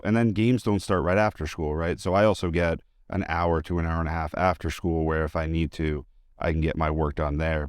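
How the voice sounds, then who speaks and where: A man's voice: sits low, no room sound, studio quality. A man giving a casual, chatty interview, telling anecdotes in an informal, enthusiastic way. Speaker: interview guest, high school teacher and sports announcer.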